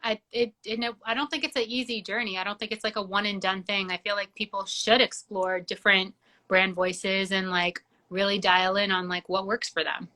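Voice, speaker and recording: A woman's voice: medium pitch, steady tone, moderate pace, pushed and bright. A young woman from Georgia, a singer-songwriter whose first language is Georgian, chatting casually as an interview guest and filling her talk with "like". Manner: chatty, many "like" fillers.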